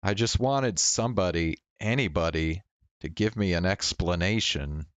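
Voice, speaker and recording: A man's voice: low voice, moderate pace, no room sound. A man doing casual game commentary and reading visual novel text aloud. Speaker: game streamer. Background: game music.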